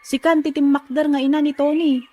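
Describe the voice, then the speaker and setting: A woman puts on a curious, sympathetic female friend with a high, raised voice. She has an Ilocano accent and speaks in radio-drama style. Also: flat delivery, a little fast, dark tone, dry recording, natural voice.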